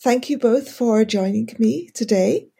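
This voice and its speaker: A woman's voice: fairly high pitch, a little slow, creaky, warm tone, slightly rough. A woman hosting a podcast interview, polite and inquisitive, on a video call. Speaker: podcast host.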